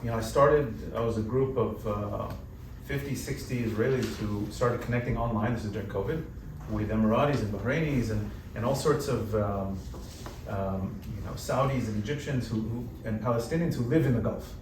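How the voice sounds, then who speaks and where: A man who grew up in the United States, speaking on a panel in a relaxed, conversational way with lots of "you know" fillers. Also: lowish voice, moderate pace. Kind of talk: panel discussion. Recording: roomy hall, distant mic, background noise.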